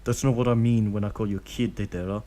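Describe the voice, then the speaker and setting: A man's voice: on the low side, steady tone, fast, mellow, close to an everyday voice. A man voicing a weary adult ninja who turns a child away firmly. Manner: firm, tired, lightly raised.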